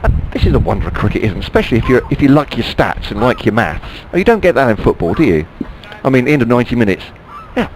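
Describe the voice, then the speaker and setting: A man co-hosting radio cricket coverage in a lively, jokey way, his pitch swinging widely as he talks. He reads out listener emails and banters with his partner. Faint outdoor noise hums behind him. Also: fast, few pauses, poor audio. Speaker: radio sports co-commentator. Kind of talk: lively radio sport chat.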